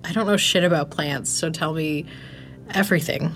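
A woman's voice: deep voice, normal speed, a bit bright. Blunt, brash young woman, noisy ambience. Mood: guarded, gruff concern. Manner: blunt, slangy, sweary. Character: brash, foul-mouthed, blunt young woman, anxiously caring. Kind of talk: naturalistic audio drama acting.

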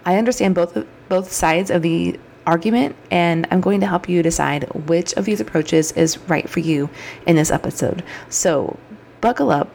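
A woman's voice: fairly low pitch, moderate pace, gravelly, a little mellow. A woman in her thirties hosting her own podcast, talking in an upbeat, chatty way, her throat a little scratchy and froggy. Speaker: podcast host. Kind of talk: solo podcast monologue.

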